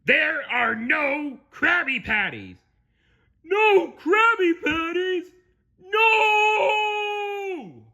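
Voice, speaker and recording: A man's voice: very high voice, very animated, very slow, bright, forceful tone, voice pushed up, heavily acted. A man voicing a frantic middle-aged cartoon crab boss, panicky and melodramatic. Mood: panicked, wailing.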